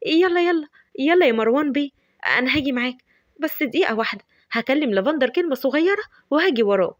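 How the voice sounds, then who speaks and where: A woman voicing a pushy, lovestruck young woman who talks in an excited, teasing, dramatic way, with an Egyptian Arabic accent. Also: high-pitched, fast, dry recording, clean studio sound. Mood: giddy.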